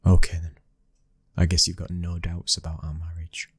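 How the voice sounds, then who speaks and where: Casting: a man performing a cold young British mafia prince for ASMR drama, domineering and terse, flat delivery, close dry sound. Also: low-pitched, normal speed, breathy edge, no room sound. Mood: menacing, commanding. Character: cold, commanding twenty-one-year-old mafia boss's son. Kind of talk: ASMR roleplay acting.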